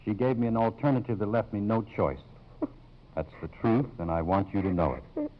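An actor in 1950s radio drama playing a grave, middle-aged college president and father, halting and formal. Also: lowish voice, natural voice. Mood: remorseful. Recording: muffled old 1950s radio broadcast.

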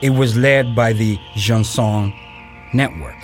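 A man acting as the narrator of an audio play, giving measured, factual, documentary-style narration. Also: on the low side, music in the background. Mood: sober, factual. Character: sober male documentary-style narrator of a biography.

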